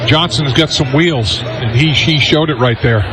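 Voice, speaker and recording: Halting American male analyst over crowd noise. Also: on the high side. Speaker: color commentator. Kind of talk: off-the-cuff football radio analysis. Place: stadium radio booth. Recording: noisy stadium crowd behind.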